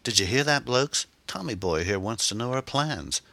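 A man's voice: medium pitch, animated, moderate pace, creaky, pushed and bright, dry recording, voice pushed up. A man voicing a mockingly polite, menacing teenage English gang leader in an audiobook, chirpy and taunting. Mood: gleeful threat.

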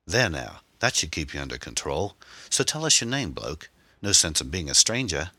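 Man playing taunting teenage gang leader, deep and lively. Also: normal speed, no echo, heavily acted. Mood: mock friendly. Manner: taunting, chirpy. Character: teenage English gang leader, mockingly polite, menacing.

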